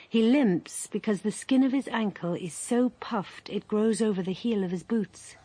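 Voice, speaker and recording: A woman tells a radio story in calm, measured narration. Her voice is mellow and slightly husky, set at a middle pitch. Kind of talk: scripted literary narration.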